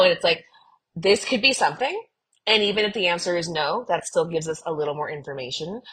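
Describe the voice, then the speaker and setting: A woman's voice: lowish voice, fairly bright tone, slight background noise, low-quality recording. A woman co-hosting a podcast in a casual, earnest and emphatic way, sprinkling her talk with "like". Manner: earnest, emphatic, uses "like" often. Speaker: podcast co-host. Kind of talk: casual fan discussion.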